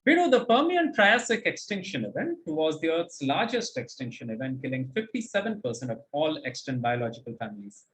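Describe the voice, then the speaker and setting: A man's voice: on the high side, very animated, fairly quick, few pauses, fairly bright tone, rough recording. A man hosting a quiz, reading out questions and commenting on answers in an articulate, encouraging, explanatory way. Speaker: quizmaster and host.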